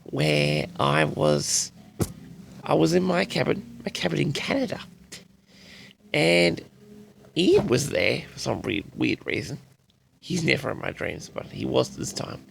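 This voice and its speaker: A man with a tired, raspy voice, chatting casually and loosely to his audience with many restarts. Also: mid-range voice, very animated, measured pace, pauses often, a bit bright, slight background noise. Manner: rambling, repeats and restarts sentences. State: tired, raspy from a late night. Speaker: audio log host. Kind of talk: casual rambling chat to audience.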